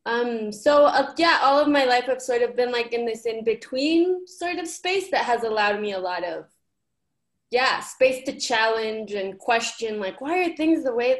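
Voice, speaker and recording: A young woman from Ecuador, a program coordinator, talking earnestly and casually with many fillers. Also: a little high. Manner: earnest, uses many fillers like "like" and "you know". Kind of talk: casual conversational reflection.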